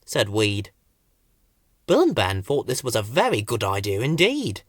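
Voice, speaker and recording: A man narrating a children's story as an expressive audiobook storyteller. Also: lowish voice, a little slow, dry recording, clean studio sound.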